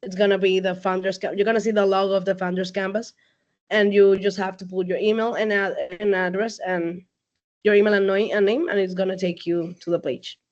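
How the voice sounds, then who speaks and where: A woman's voice: mid-range voice, little pitch movement, a little fast, darkish tone, lo-fi sound. Chatty woman on a video call. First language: Spanish. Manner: chatty, laughs often, many fillers. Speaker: guest speaker, company CEO. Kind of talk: casual online talk.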